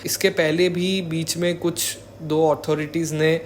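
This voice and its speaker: A man's voice: a little high, level tone, measured pace, a little hiss or hum. A young man giving informal spoken commentary as a vlogger, mixing in English words. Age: young adult. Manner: code-switches with English words.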